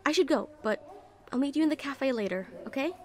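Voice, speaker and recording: A woman playing a caring, protective young hospital nurse in an intimate audio roleplay, speaking gently, conversationally and a little hesitantly. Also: high-pitched, animated, a little fast. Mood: earnest, concerned.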